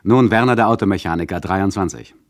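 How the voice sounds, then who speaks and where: An actor playing a wry, deadpan narrator who explains a radio play in a mock-instructive manner. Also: male voice, sits low, quick pace, dry recording, natural voice.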